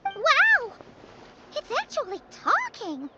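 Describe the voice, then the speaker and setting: A woman voicing a tiny, curious, excitable fairy girl with a squeaky childlike voice in an anime game. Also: slow pace, noisy background, full character voice. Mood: amazed. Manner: exclaiming, inquisitive. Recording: dull game capture with music.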